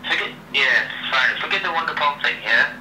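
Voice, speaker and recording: A man's voice: a little high, lively intonation, fairly quick. Young man on noisy speakerphone. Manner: repetitive, slangy, tags sentences with "yeah" and "innit". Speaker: phone call participant. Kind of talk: casual phone chat. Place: phone speaker held up in a room. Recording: noisy, echoey speakerphone call.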